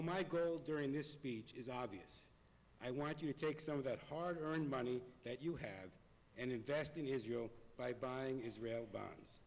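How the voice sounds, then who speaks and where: A hoarse man delivering a prepared, earnest appeal to a congregation in a synagogue. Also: medium pitch, darkish tone. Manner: earnest, measured, reading from notes. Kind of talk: prepared speech. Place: synagogue sanctuary. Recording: long hall reverb.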